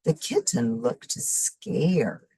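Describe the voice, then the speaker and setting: A woman reading a children's story expressively, with simple, deliberate phrasing for young listeners. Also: fairly low pitch, wildly swooping pitch, creaky, mellow, husky, no echo. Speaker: storybook reader.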